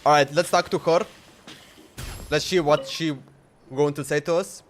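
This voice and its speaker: Higher-voiced man casually streaming a game. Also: steady tone, unhurried. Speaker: live streamer. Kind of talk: casual gameplay commentary. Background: faint game music.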